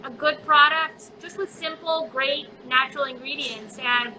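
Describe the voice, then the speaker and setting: A woman's voice: high-pitched, a little slow, sharp tone, a little hiss or hum, rough recording. A woman who grew up in Colombia, a chocolate maker chatting in an online interview, talking casually with many fillers. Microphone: phone on a live video chat.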